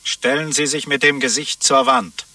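An actor playing a curt, commanding prison guard in a radio play, heard from a distance. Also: male voice, medium pitch, steady tone, moderate pace, bright, forceful tone. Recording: distant from the microphone.

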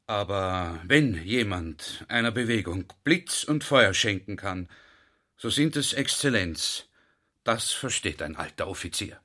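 A man's voice: on the low side, lively intonation, measured pace, bright, forceful tone, exaggerated voice. An actor playing an old army officer, courtly and deferential towards his superior, in a radio drama. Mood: deferential flattery.

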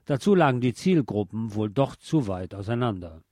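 A man's voice: on the low side, lively intonation, moderate pace, heavy background noise. A man presenting a scripted music-history narration in literate, formal wording with a dryly ironic manner. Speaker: host.

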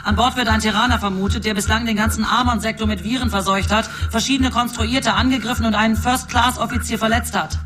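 An actor playing a stern, exasperated space control commander in a German science fiction radio drama, giving urgent military announcements. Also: male voice, sits high, fairly even intonation, fast talker, few pauses, a bit bright, soft background music. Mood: urgent briefing.

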